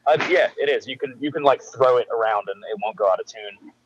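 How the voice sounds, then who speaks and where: A man born in England, a musician guest in a casual interview, talking haltingly with many restarts and fillers. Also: mid-range voice, dry recording, lo-fi sound.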